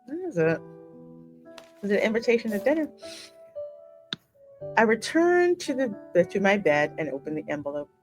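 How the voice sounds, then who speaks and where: A woman's voice: medium pitch, big pitch swings, normal speed, pauses often, soft background music. A woman doing game playthrough commentary, switching between reading story text aloud and playful, colloquial chat. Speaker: gaming video co-host reading game dialogue aloud.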